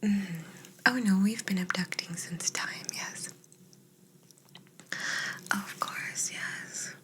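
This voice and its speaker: A woman playing a curious, teasing alien abductor who soothes a human in a soft whispered ASMR roleplay with gentle reassurances. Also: mid-range voice, slow pace, close to an everyday voice. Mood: soothing, curious.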